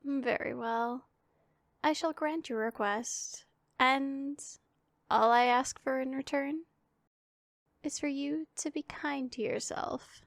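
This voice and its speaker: A woman voicing a powerful female demon in an ASMR roleplay, imperious and teasing with a secretly caring streak, speaking in formal, deliberate phrases. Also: high-pitched, gravelly, no echo, clean studio sound, full character voice. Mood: solemn, bargaining.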